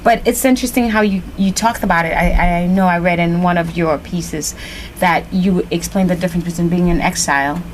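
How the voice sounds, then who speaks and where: A woman's voice: fairly low pitch, steady tone, fairly quick, a little hiss or hum, poor audio. A woman hosting a TV interview show, asking long, chatty questions full of fillers. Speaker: host.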